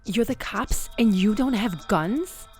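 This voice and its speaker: Radio drama: biting, confrontational female captive, mid pitch with animated swings, faint music underneath. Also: slow pace. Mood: sarcastic. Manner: biting sarcasm, challenging questions. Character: bitter, sarcastic female captive, suspicious and confrontational. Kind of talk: radio play acting.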